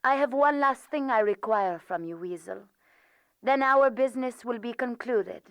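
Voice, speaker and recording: A woman's voice: on the high side, moderate pace, smooth, dry recording, close to an everyday voice. A woman voicing a calculating female spy, controlled and formal, in a dramatic video game. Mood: cool, menacing.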